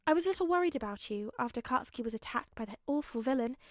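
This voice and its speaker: A woman voicing a caring, gently fretful mother who dotes on her teenage son in a story reading. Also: a little high, lively intonation, rapid-fire, no echo, clean studio sound, natural voice. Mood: tender, relieved. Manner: gentle, doting.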